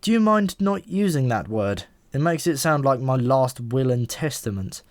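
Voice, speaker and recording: A man's voice: medium pitch, expressive, normal speed, no echo, natural voice. A man voicing an irritable, insecure ordinary modern man in an audiobook, testy, incredulous and full of questions. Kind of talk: audiobook character voice.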